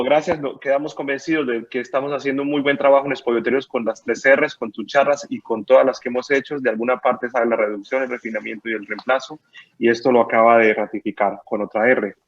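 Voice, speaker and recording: A man's voice: mid-range voice, lo-fi sound. A man moderating an online conference, thanking the speaker and reading out audience questions in a friendly way.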